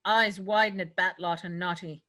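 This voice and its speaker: Bright-voiced woman narrating a script. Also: on the low side, steady tone, moderate pace. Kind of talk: scripted narration of stage directions.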